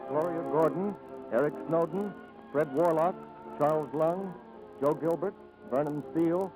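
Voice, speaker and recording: A man's voice: a little high, unhurried, rough recording. A man announcing credits in the scripted style of 1940s radio. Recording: old radio recording over loud music.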